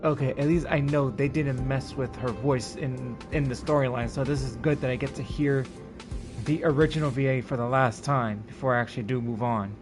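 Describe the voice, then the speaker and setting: A man's voice: mid-range voice, fairly even intonation, brisk. A man giving casual live commentary as he streams a video game. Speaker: game livestreamer. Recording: game music behind voice.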